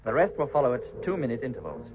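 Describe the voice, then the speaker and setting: A man's voice: medium pitch, sing-song, rapid-fire, slight background noise, natural voice. An actor playing a commanding male spaceship captain in a 1950s British radio drama, with a clipped, formal British delivery. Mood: calm authority. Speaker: actor in a radio science fiction serial. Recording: 1950s radio recording, tinny and muffled.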